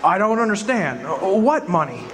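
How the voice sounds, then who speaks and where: A man's voice: high-pitched, normal speed, some chatter behind. A man acting in a live community radio play, voicing an overjoyed, breathless husband and father. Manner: excited, breathless joy. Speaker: actor playing George Bailey.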